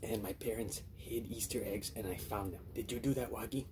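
A man voicing a friendly, childlike little monster puppet, excitable and easily flustered, exclaiming in repeated phrases. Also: fairly low pitch, fairly quick, a little hiss or hum, natural voice. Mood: fretful, friendly. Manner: repetitive, wide-eyed exclaiming. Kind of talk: children's puppet show skit.

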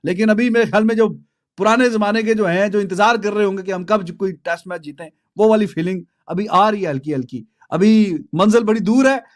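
A man with a Pakistani accent and a high-pitched voice talks cricket casually to the camera. His delivery is conversational and colloquial, with English phrases mixed in. He speaks close to a desk microphone in a small studio. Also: a little fast. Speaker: cricket commentator. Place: small indoor home studio. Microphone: desk microphone close in front.

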